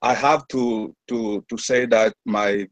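A man with a French accent speaks slowly and haltingly, often restarting as he hunts for the English word. His voice sits low and comes across dry over an online call. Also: fairly even intonation, dry recording, lo-fi sound. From France. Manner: halting, searching for words, many restarts. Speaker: class member. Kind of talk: hesitant online class reading.

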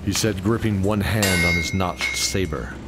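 A man's voice: low voice, a little slow, heavy background noise. A man reading scripted dramatic narration as the narrator of a fantasy story.

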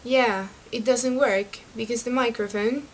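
A young woman from Venezuela, chatty and excitable, talking to her webcam about books in a small bedroom. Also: on the high side, unhurried, a little hiss or hum. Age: young adult. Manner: chatty and excitable, laughs at her own slips. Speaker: book vlogger. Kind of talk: casual chat to camera. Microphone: webcam mic.

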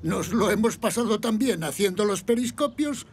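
A patient old grandfather in a children's cartoon. His voice is gravelly and lively in pitch, explaining things kindly, with faint music behind him. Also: moderate pace. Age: older. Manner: patient, explanatory, thoughtful hums.

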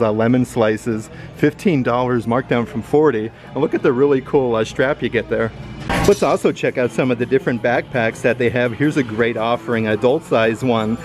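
A man's voice: medium pitch, a little mellow. A man vlogging an upbeat shopping walkthrough in an outlet store, filming handheld and reading off prices. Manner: upbeat, enthusiastic, lists prices.

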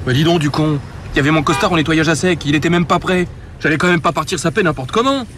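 A man's voice: on the high side, fast talker, fairly bright tone, close to an everyday voice. An actor playing a bossy, impatient young man in a radio drama, swearing in slang. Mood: bossy, indignant. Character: bossy, panicky, foul-mouthed young man. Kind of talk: French radio drama acting. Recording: street and car noise behind.

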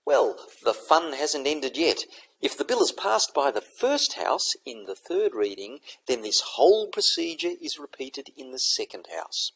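A man giving a recorded lesson, explaining in a measured, methodical way. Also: mid-range voice, sing-song, creaky, fairly bright tone, breathy edge, low-quality recording. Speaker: teacher. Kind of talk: recorded lecture over slides.

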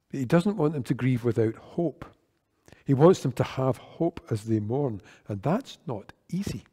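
A man, preaching an earnest, measured sermon at a church lectern microphone. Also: mid-range voice, dark tone. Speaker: worship leader. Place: church sanctuary. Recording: long church reverb.

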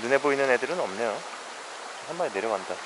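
A man's voice: mid-range voice, animated, fast. A man fly fishing alone on a mountain stream, talking to himself in a casual, wry, weary way as he films with a head-mounted camera. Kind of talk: casual first-person fishing narration. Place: outdoors by a shallow mountain stream. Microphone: head-mounted action camera. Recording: outdoor stream noise behind.

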